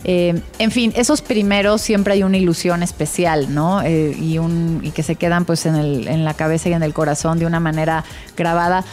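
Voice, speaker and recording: A Mexican woman with a fairly low voice answers interview questions conversationally. She sounds reflective and enthusiastic about her work as an interior designer. Faint music plays behind her. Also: steady tone, normal speed, few pauses, darkish tone. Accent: Mexican Spanish.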